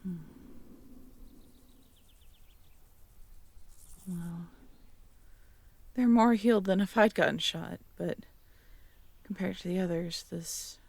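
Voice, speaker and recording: A woman acting a caring, teasing, curious young girlfriend in an intimate roleplay audio drama, talking softly and conversationally to the listener. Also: fairly low pitch, a little slow, vocal fry, no room sound. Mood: gentle concern. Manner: soft, intimate, conversational, playful.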